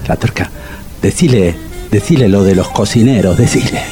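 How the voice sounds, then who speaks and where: An actor playing a wisecracking, meddling Argentine bachelor sidekick in a radio comedy, insistent and quick to interject. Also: male voice, lowish voice, music in the background, natural voice. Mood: insistent prompting. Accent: Argentine, Rioplatense. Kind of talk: radio comedy drama acting.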